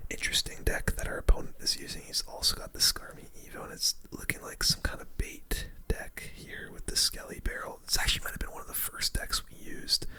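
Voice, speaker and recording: A man's voice: fairly quick, hardly any pauses, sharp tone. Whispering young man doing ASMR game commentary. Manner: slangy, casual, reacting to play. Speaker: gaming video host.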